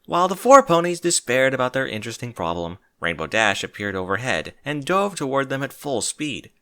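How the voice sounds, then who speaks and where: A man's voice: medium pitch, big pitch swings, fairly quick, pushed and bright, clean tone. A man narrating a scripted story expressively, as a reader of fan fiction.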